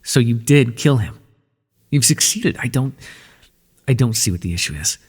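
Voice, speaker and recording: A man voicing an ancient god of the underworld in an ASMR roleplay, speaking in a soft, breathy, measured way and reassuring the listener tenderly. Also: mid-range voice, expressive, long reverb tail. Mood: gentle, soothing.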